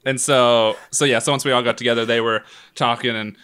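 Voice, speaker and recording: A man's voice: medium pitch, steady tone, a little fast, bright, forceful tone, clean tone. A man co-hosting a podcast in casual banter, crude and joking, who laughs hard at his own jokes. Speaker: podcast co-host.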